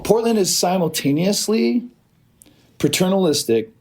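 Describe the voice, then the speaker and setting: A man's voice: high voice, measured pace, crowd noise. A man in his fifties from Oregon, a podcast guest telling stories in a loose, rambling way with many restarts. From Oregon, USA. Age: 50s. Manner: rambling, animated storytelling with restarts. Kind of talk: casual chat.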